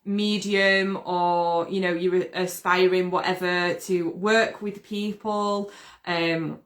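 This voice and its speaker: Chatty woman, slightly croaky. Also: fairly low pitch, little pitch movement, takes it slowly. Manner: chatty, upbeat, greets viewers by name. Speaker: host. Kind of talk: casual live stream chat.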